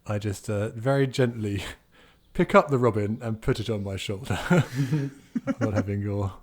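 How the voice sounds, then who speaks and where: Guy telling what his character does in a roleplaying game, lowish voice, casual. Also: a little slow, darkish tone. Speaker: roleplaying podcast player.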